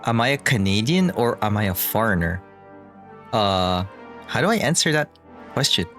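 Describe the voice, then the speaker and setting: A man streaming a game, playful and giggly, thinking out loud as he goes. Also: on the low side, very animated, normal speed, soft background music. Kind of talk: casual gameplay chat.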